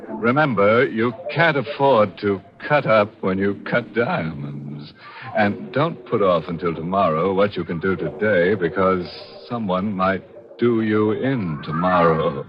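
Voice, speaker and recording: A man playing a gleefully macabre, punning horror host on 1940s radio, with a mock-sinister, drawn-out delivery. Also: deep voice, a crowd in the background. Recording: dull vintage 1940s radio recording.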